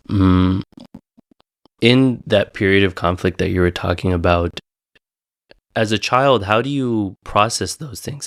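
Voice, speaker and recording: A man with a fairly low voice hosts a long-form interview. He talks in a reflective, conversational way and puts open questions to his guest. The sound is close and dry. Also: no room sound. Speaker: podcast host.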